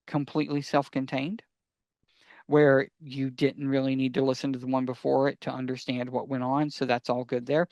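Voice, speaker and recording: A man's voice: a little high, smooth, dry recording. A man co-hosting a podcast in casual talk, eagerly explaining his recommendations and answering his own questions. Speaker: podcast co-host.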